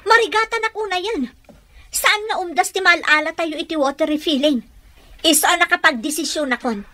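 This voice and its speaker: Fed-up wife: shrill, hard-edged voice, bitter, Ilocano-accented radio drama complaint. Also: female voice, a little fast, bright, forceful tone, no room sound.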